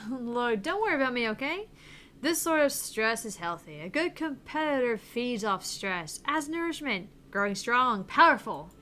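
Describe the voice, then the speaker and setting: A woman's voice: a little high, sing-song, normal speed, pushed and bright, slight background noise. A woman voicing a stressed but cocky young female tournament gamer, reading her lines with defensive bravado. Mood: self-assured.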